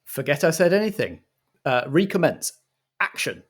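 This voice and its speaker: A man playing a pompous, touchy director of a radio production in a comic audio drama, halting as he speaks. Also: on the high side, big pitch swings, breathy edge, pitched above the performer's own voice. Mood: sheepish.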